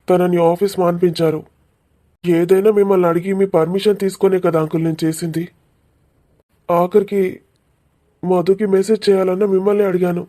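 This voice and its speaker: A man's voice: sits high, mellow, lightly acted. A man voicing an earnest, nervous young man in love in a cartoon love story. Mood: pleading.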